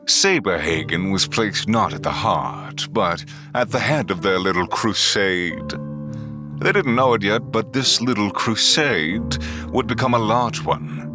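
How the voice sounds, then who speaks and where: A man's voice: fairly low pitch, animated, measured pace, over music. A man playing a solemn chronicler, delivering portentous, dramatic narration for an audio drama. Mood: solemn, foreboding.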